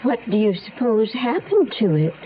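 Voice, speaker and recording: A hospitable grandmother in an old radio thriller. She is kindly and unworried, with a lilting, fairly high voice. The recording is muffled, with faint hiss. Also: sing-song, normal speed. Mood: gently dismissive. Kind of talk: 1970s radio drama acting.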